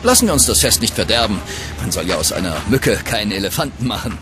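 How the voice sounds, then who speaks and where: An actor playing a smooth-talking, dismissive oil company representative who plays things down in a children's audio drama. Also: male voice, fairly low pitch, very animated, fast talker, music in the background.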